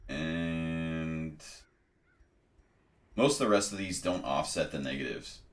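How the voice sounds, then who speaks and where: A man with a very low voice talks through a video game step by step, pausing on ums as he weighs each choice. He sounds congested. His voice rings in a noticeably echoey room. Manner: methodical, explaining step by step with frequent ums. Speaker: let's play host. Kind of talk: casual gameplay commentary and tutorial.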